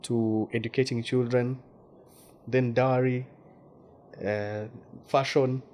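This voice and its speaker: Ugandan man, interview guest, mid-pitched voice, hesitant repeats, faint background hiss. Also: little pitch movement, takes it slowly, a little mellow. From Western Uganda. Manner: thoughtful, repeats words while searching for them. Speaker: guest journalist. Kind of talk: casual interview talk.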